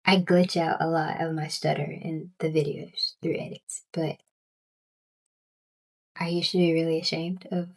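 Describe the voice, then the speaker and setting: Low-voiced Southern woman who stutters. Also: flat delivery. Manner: stuttering, halting, many fillers and restarts. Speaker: booktuber. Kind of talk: casual vlog chat.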